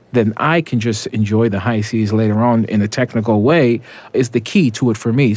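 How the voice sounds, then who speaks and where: A man's voice: medium pitch, a little mellow. A man, an opera tenor, giving reflective interview answers with small restarts.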